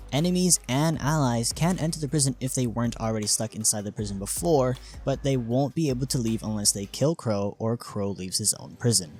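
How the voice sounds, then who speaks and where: A man's voice: mid-range voice, a little mellow. Man narrating a game explainer over music. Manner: explanatory, informal asides. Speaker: video creator and host. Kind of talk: scripted explainer narration about a game character concept. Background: music behind the voice.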